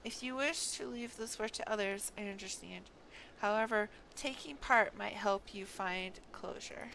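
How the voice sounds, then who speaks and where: A woman's voice: mid-range voice, unhurried, vocal fry, a little hiss or hum, low-quality recording. A woman reading the part of a compassionate sister in a fantasy game, formal and solemn. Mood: solemn, consoling. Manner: formal, gentle condolence.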